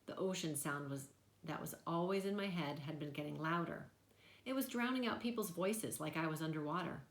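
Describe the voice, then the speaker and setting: Female storyteller, slightly low voice, expressive children's book narration, audibly roomy recording. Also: a little fast. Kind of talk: expressive children's novel read-aloud.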